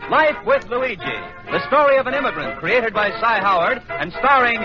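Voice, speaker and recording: A man's voice: extremely high pitch, lively intonation, moderate pace. A man announcing an old scripted radio show in a formal, declamatory 1940s style. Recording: dull old radio broadcast, music behind.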